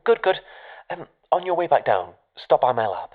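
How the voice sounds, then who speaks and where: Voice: mid-range voice, sing-song, moderate pace. An actor playing a polite, evasive scientist with a hesitant, understated, formal manner over a radio channel in an audio drama. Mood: uneasy, polite. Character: evasive, polite, dryly calm scientist in charge of an experiment. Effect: radio channel filter. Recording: thin, phone-like radio channel.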